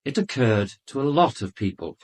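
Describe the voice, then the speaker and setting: An actor playing an arrogant, urbane British doctor in a radio drama, speaking with haughty, defensive precision. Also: male voice, medium pitch, very animated, normal speed. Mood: dismissive, defensive. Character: arrogant, urbane male doctor under police questioning.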